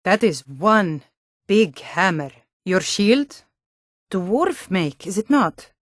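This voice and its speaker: A woman's voice: mid-range voice, sing-song, slow, no room sound, clean studio sound. A woman voicing a tough, blunt female Nord warrior guard in a fantasy video game, stern, taunting and combative. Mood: gruff, watchful.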